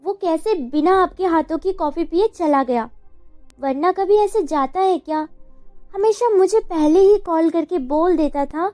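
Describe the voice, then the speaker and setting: A woman playing an emotional, anxious young college girl in an animated love story, speaking in an expressive, girlish way. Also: very high-pitched, a little slow, no echo, soft background music. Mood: worried. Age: young adult. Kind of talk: dramatized Hindi love story acting.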